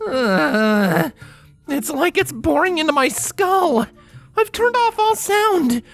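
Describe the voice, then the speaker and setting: A woman's voice: high voice, very animated, slow pace, soft background music, pitched above the performer's own voice, heavily acted. A woman voicing an exhausted, exasperated female pony mage with a whining, frazzled delivery.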